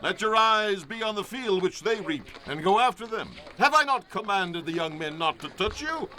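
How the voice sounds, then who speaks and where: An actor playing a wealthy, gracious landowner in a radio drama, gentle yet commanding. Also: male voice, high voice, expressive, normal speed, slight background noise, poor audio. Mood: kind.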